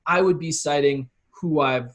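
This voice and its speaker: A man's voice: on the high side, unhurried, a bit bright. A man giving advice as an interview guest, explaining his approach casually and conversationally. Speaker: interview guest, copywriting expert.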